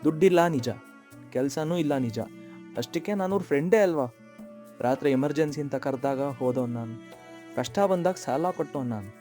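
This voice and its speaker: A man's voice: on the high side, moderate pace, warm tone, soft background music, close to an everyday voice. A man voicing a kind-hearted, jobless young man in a cartoon story, earnest and reflective. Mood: hurt, dejected. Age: young adult. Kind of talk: dramatic monologue and dialogue in cartoon story.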